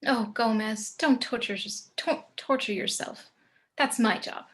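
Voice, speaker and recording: A woman's voice: on the high side, a little slow, close to an everyday voice. A woman playing an elegant, morbidly romantic family matriarch with composed, macabre flirtation in a table read. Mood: darkly tender.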